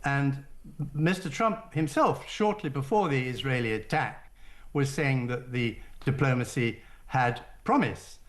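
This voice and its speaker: An older man speaking as a radio interview guest, setting out his points in a formal, deliberate way. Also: mid-range voice. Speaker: guest, former diplomat.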